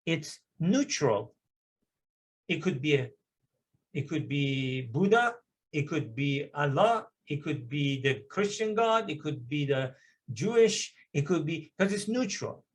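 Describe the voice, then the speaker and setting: A man from Iran, a native Persian speaker, delivers a personal testimony in church. He speaks earnestly, pressing hard on key moments. His voice sits a little high for a man. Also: animated, slow pace, breathy edge. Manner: earnest, emphatic storytelling with swells of intensity. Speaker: guest speaker giving testimony.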